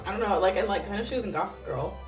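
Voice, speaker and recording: A woman's voice: medium pitch, rapid-fire, over music, poor audio. A woman co-hosting a casual podcast, chatting in a candid, emphatic way and swearing freely. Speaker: podcast co-host. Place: home studio garage.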